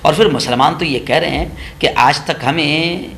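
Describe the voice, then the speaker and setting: A man's voice: on the high side, measured pace, a bit bright, slight background noise. A man giving opinionated political news commentary, sarcastic and mocking, repeating phrases for emphasis.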